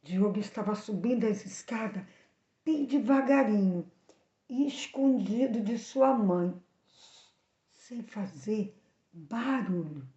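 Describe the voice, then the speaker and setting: A woman with a Brazilian accent telling a moral story for children in expressive narration. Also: medium pitch, takes it slowly, long pauses. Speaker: children's storyteller and channel host. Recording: echoey room, slightly dull sound.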